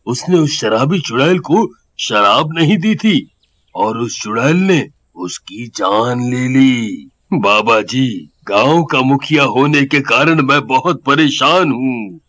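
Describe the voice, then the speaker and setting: A man playing a worried, responsible village headman in a cartoon story, speaking earnestly and deliberately. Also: mid-range voice, expressive, fairly bright tone.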